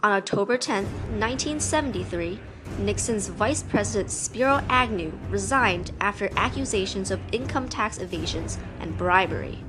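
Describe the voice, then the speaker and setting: A woman's voice: mid-range voice, lively intonation, normal speed, noisy background. A woman reading scripted historical narration in storybook form.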